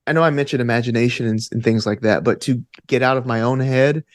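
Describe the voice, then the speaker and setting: Man, mid-pitched voice, earnest, reflective conversational interview style, thinking aloud, very dry recording. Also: quick pace, no echo. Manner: earnest, thinking aloud, frequent "like" and "you know". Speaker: podcast guest, actor and tabletop gamer.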